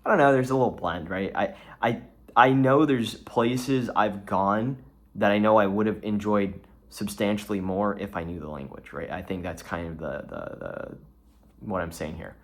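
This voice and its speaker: A man's voice: on the low side, moderate pace. A young man from Texas, rambling through a solo vlog with lots of restarts and fillers. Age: young adult. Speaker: vlogger.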